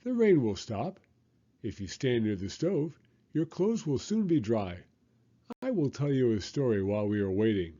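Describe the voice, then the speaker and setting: A man's voice: mid-range voice, very animated, moderate pace, warm tone, highs cut off. A man voicing a cheerful, kindly frog telling his friend a childhood memory in an earnest, lilting way.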